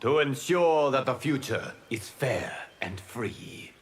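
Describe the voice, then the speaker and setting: A man's voice: mid-range voice, animated, takes it slowly, slight background noise. A man playing a noble, stern and righteous god in a fighting game. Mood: solemn challenge. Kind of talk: video game fight intro banter.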